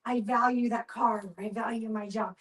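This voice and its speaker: A woman's voice: on the high side, steady tone, moderate pace, recorded from a distance. A woman speaking earnestly in a group discussion, explaining her point with encouraging emphasis.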